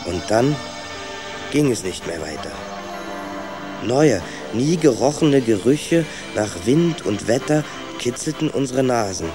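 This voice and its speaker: A man's voice: medium pitch, big pitch swings, takes it slowly, over music. A man playing a rat who narrates his youthful journey in a scripted children's radio play. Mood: atmospheric.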